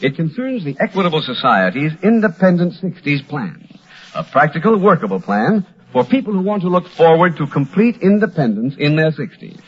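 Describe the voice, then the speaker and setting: A man with an American accent announcing in formal, emphatic, polished 1940s radio style as a sponsor's pitchman, on an old broadcast recording. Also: husky, dull audio.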